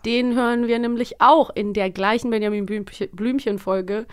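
A woman's voice: a little high, fairly even intonation, moderate pace, clear, resonant tone. A woman co-hosting a podcast in a casual, chatty style. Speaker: podcast co-host, voice performer. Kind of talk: casual chat.

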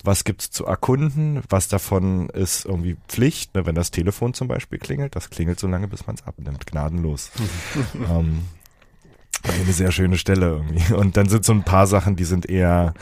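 A man's voice: deep voice, moderate pace, a little mellow, no echo. A man explaining design decisions in a casual discussion as a project developer, with a hesitant, searching delivery. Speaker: project developer and panel guest.

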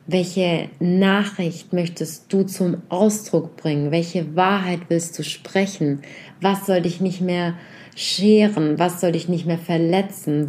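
A woman leading a guided reflection meditation, speaking softly and unhurriedly as she puts a series of gentle questions directly to the listener. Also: lowish voice.

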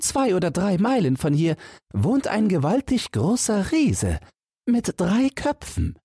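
A man's voice: high-pitched, wildly swooping pitch, slow, mellow, husky, dry recording, studio quality, voice pushed up, full character voice. A man voicing a cheeky, confident and carefree young hero of a fairy tale.

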